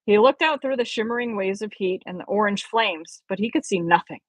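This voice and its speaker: Amateur female audiobook reader, mid-range pitch, expressive storytelling, very dry recording. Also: a little fast, dry recording. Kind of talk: expressive story read-aloud.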